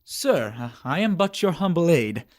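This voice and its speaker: A man voicing a confident alien soldier and aide in an animation, speaking in a measured, earnest way. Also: a little high, very animated. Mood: deferential. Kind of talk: amateur animation voice acting.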